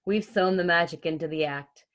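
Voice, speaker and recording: A woman's voice: lowish voice, normal speed, fairly bright tone, natural voice. A woman voicing a wonderstruck young girl who helps sew circus costumes, in an earnest storybook delivery. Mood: awestruck.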